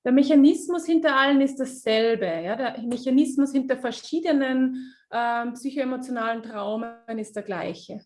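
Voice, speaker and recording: A woman with a fairly high voice hosts an online webinar and interprets a guest's talk. She speaks quickly, with plenty of fillers and restarts. Her voice comes over a video call. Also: soft background music. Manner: quick, lively, many fillers and self-corrections.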